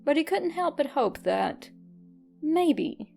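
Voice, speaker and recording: A woman reading fan fiction aloud as an expressive, scripted story narration. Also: high voice, slow pace, gravelly, faint music behind. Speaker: fan fiction podfic reader.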